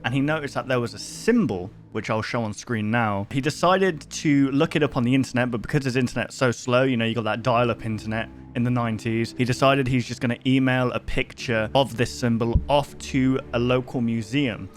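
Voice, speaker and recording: A man's voice: medium pitch, fairly quick, few pauses. A man recapping a story in a casual, conversational commentary style, often thinking aloud as he goes. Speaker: host of a horror book review video. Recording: music behind the voice. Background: music.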